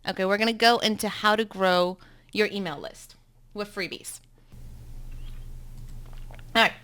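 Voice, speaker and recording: A woman's voice: medium pitch, a little slow, a bit bright, clear, resonant tone. A woman in her thirties from South Africa, Afrikaans her first language, with a South African accent, teaching bloggers in a chatty, upbeat live webinar from home, with frequent ums.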